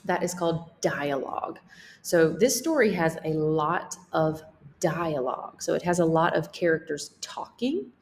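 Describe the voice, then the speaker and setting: Woman reading aloud to children, unhurried and expressive. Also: low-pitched, slight background noise. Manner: explains in simple terms, checks in with questions. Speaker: teacher reading a picture book aloud. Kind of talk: read-aloud lesson for children.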